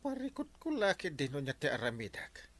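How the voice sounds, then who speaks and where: An actor with an Ilocano accent playing a fretful, excitable village captain in a radio comedy drama, exclaiming and grumbling. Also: male voice, on the high side, brisk. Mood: exasperated. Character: fretful, excitable barangay captain, comically exasperated man.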